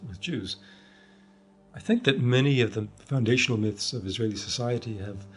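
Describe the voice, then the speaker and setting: Man from the US, first language Hebrew, lowish voice, thoughtful and halting; faint music under. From United States. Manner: thoughtful, halting, many restarts and fillers. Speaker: interviewee. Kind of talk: documentary interview.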